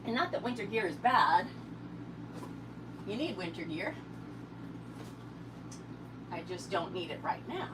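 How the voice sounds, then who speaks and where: Woman, slightly hoarse, chatty livestream host, inside an RV, noisy recording. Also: lowish voice, sing-song, measured pace, pauses often. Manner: chatty, thanks viewers by name. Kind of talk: casual livestream chat.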